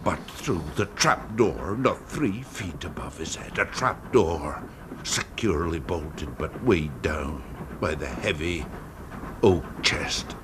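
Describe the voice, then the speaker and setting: A vengeful man in an old radio horror play, his voice extremely deep. He speaks haltingly, savouring cruelty and slipping into whispers, over faint background noise. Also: expressive, exaggerated voice. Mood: dark triumph. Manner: hushed, halting, lingering on words, sinking to whispers. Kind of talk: radio drama storytelling monologue.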